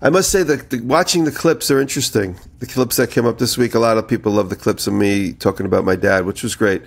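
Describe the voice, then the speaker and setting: A man with a low, creaky voice co-hosts a casual podcast. He sounds a little nasal from a head cold. His talk is conversational and wanders through anecdotes with a gravelly edge. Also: fast talker. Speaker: podcast co-host. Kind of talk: casual chat, rambling anecdotes.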